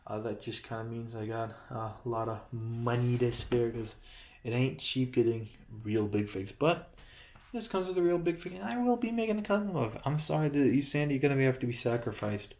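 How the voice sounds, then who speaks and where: A man's voice: medium pitch, lively intonation, fairly quick, a little mellow. A man casually reviewing a toy building set he holds up to a camera, rambling, correcting himself and joking as he goes. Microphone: handheld camera close-up of toy.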